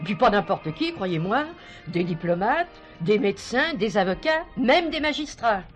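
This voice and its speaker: A woman's voice: mid-range voice, very animated, moderate pace, close to an everyday voice. An actress as proud, nostalgic old showgirl, music behind. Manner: chatty, emphatic, colloquial. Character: nostalgic, proud, gossipy older former showgirl. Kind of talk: French stage comedy-mystery acting.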